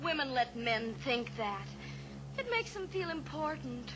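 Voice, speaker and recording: A woman's voice: sits high, big pitch swings, measured pace, noisy background. An actress playing an eager, earnest young woman pilot in a 1950s radio drama, a little anxious in manner. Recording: old radio recording with music.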